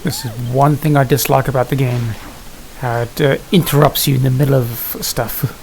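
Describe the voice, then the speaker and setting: A man giving casual gameplay commentary, thinking aloud in a halting mutter. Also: mid-range voice, steady tone, creaky, a little mellow, low-quality recording. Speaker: gaming video host. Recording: hissy home recording, background noise.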